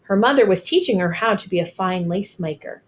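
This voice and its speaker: Female storyteller, medium pitch, expressive picture book narration, read-aloud for children. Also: brisk, slight background noise.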